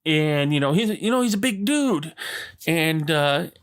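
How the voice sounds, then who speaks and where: Rambling, fairly high-voiced male podcast host. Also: lively intonation, unhurried. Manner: rambling, enthusiastic, lots of fillers and asides. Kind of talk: casual solo podcast rant. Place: Arizona.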